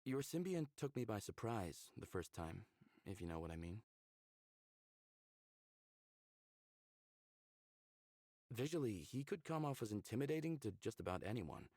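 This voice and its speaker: A man's voice: on the low side, sing-song, fairly quick, darkish tone, dry recording, clean studio sound. An actor playing a curious, candid human man in a visual novel, talking casually and a little flirtatiously.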